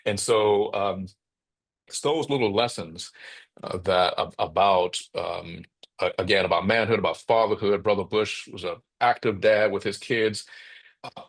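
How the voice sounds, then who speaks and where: A middle-aged man with a bass voice, speaking reflectively as an interview guest, often checking in with "right?" and restarting his phrases. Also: fairly bright tone. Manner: thoughtful, frequent "right?" tags and restarts. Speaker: podcast guest.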